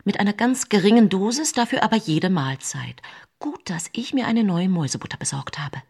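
A husky-voiced, coldly calculating woman poisoner tells her own tale in a radio drama. She sounds outwardly caring while she schemes, her voice at a middling pitch. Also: expressive, brisk, a little mellow. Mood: sly, calculating. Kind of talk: dramatic first-person radio play narration.

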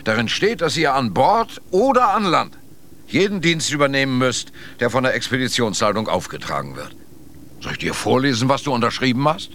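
A man's voice: medium pitch, very animated, a little fast, a bit bright, slight background noise. A man playing a stern, dry-humoured member of a polar expedition in a radio drama. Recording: faint background ambience.

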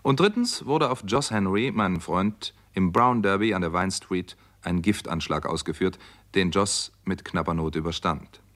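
An actor playing a sober American doctor who narrates a German radio crime drama in a measured, matter-of-fact way. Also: male voice, low-pitched, a bit bright. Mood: sober, factual.

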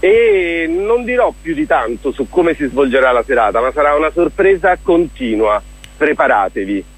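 A man's voice: on the high side, big pitch swings, normal speed, husky. A man speaking as an enthusiastic, effusive guest in a casual radio interview, talking as a theatre director. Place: radio broadcast.

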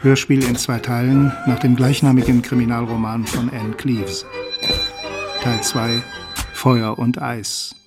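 Guy announcing a radio drama, mid pitch, loud string music behind him. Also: flat delivery, measured pace. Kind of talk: scripted radio title announcement.

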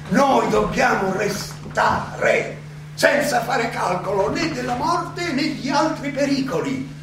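An actor playing a defiant philosopher on trial in a theatrical monologue, declamatory and halting, with rhetorical questions, in an echoey, noisy recording. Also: male voice, high voice, exaggerated voice. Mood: solemn, resolute. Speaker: stage actor and director. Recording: echoey hall, distant mic, clearly noisy.

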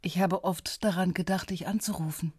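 An actress playing a tender, candid former lover in a radio drama, speaking softly and with some hesitation. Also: female voice, lowish voice, fairly even intonation, normal speed, husky, no echo, studio quality. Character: tender, candid woman from Copenhagen, old lover. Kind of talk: intimate radio drama dialogue.

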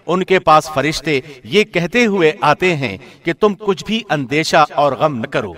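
A man reading a scripture translation from a script in a formal, solemn radio presenter's style with deliberate enunciation. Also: a little high, expressive, fairly bright tone. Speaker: translation reader, radio host.

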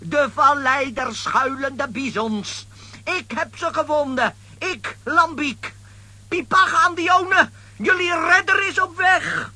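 A man playing a vain, blustering self-appointed leader in a children's comic audio drama, excitable and boastful, with a husky voice. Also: very high voice, slow, a little hiss or hum, full character voice. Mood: triumphant.

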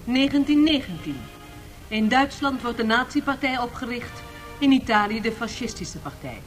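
Higher-pitched woman narrator. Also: animated, moderate pace, rough recording. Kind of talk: scripted dramatic documentary narration. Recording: radio drama with faint music.

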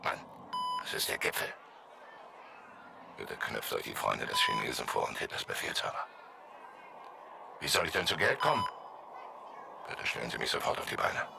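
An actor playing a dying gangster boss who rambles deliriously in a radio drama. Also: male voice, very deep voice, wildly swooping pitch, normal speed, creaky, long pauses, background noise, heavily acted. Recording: music behind the voice. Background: loud music.

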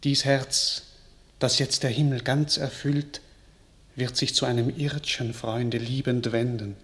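Gracious king, stately, slow, measured verse, radio drama. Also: mid-range voice, steady tone, fairly bright tone. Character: grateful, gracious young king. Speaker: actor in a radio play.

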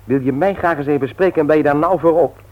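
An actor playing an eager, teasing, ambitious young husband in a theatrical, mock-pompous 1960s radio serial style. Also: male voice, on the high side, fast talker, close to an everyday voice. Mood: startled, flustered. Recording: dull vintage radio drama recording.

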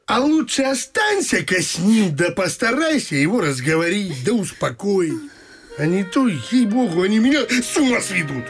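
A man's voice: high-pitched, very animated, normal speed, a bit bright, slightly rough, heavily acted. A man playing a stubborn, honourable middle-aged father in a classic comedy radio play, fussy and theatrical. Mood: firm, distressed. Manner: repeats a pet phrase, insistent, theatrical. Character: stubborn honourable father in his forties, fussy but soft-hearted. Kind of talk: classic stage-comedy radio play acting, some sung verse. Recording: music behind the voice.

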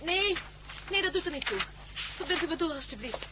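An actress playing an anxious, fretful companion in a radio drama, pleading and worrying aloud. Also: female voice, very high voice, animated, a little fast. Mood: pleading, agitated. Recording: very noisy old radio drama recording.

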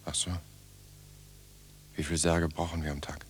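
A man's voice: extremely low pitch, little pitch movement, unhurried, a little hiss or hum. An actor playing a wary, terse male prisoner in radio play dialogue. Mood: subdued.

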